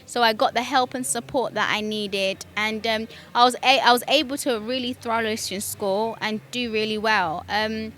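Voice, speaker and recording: A bright, clear young woman with a British accent talks through her own story in a relaxed interview. She is chatty and fills her pauses with ums, and she laughs easily. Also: on the high side. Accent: British English. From Kenya. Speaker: guest.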